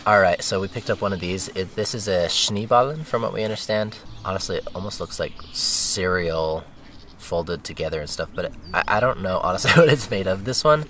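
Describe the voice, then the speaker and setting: A man's voice: sits low, a little fast, fairly bright tone. A young American man talking casually as a travel vlogger. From United States. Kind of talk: casual vlog chat. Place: outdoors in a town. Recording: outdoor ambience with clear background noise.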